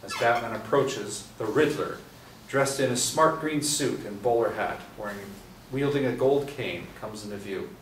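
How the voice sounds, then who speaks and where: A man reading a screenplay's scene directions aloud at a table read, steady and measured. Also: mid-range voice. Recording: echoey room from far off with faint noise.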